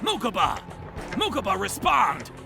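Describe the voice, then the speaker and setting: A man's voice: high-pitched, wildly swooping pitch, unhurried, gravelly, over music, heavily acted. A man playing an arrogant, oblivious young tycoon in a comedic cartoon parody, pompous, bossy and petty. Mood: bossy, self-satisfied.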